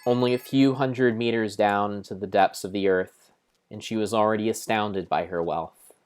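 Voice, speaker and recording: Deep-voiced man in theatrical storytelling mode. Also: steady tone, a little mellow, clear, resonant tone, soft background music. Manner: theatrical, deliberate, drawn-out phrasing. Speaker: streamer narrating a game as a story. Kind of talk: improvised dramatic storybook-style narration of gameplay.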